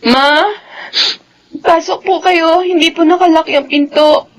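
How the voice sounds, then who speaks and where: A woman's voice: extremely high pitch, steady tone, takes it slowly. An actress playing a distraught pregnant young student in a radio drama, pleading and fearful, with music behind her. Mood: subdued, sad. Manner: tearful, pleading.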